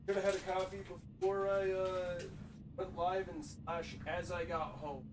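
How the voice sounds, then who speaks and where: Young male streamer, profane and jokey, slightly high voice, faint background hiss. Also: level tone, measured pace. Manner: jokey, profane, talks to chat. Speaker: gaming streamer. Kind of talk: casual gameplay commentary.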